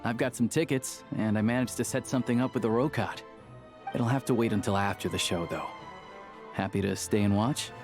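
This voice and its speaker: A man's voice: fairly low pitch, brisk, music in the background, lightly acted. An actor playing an easygoing, knowledgeable local man in a video game, talking in a casual, conversational way. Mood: relaxed, friendly. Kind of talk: video game character dialogue.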